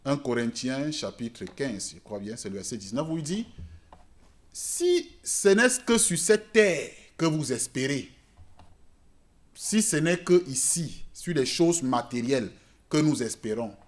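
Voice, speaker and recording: A man preaching a church sermon in French, which is not his native language, swinging between loud emphatic peaks and quiet asides. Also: mid-range voice, expressive, measured pace. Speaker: pastor. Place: church service.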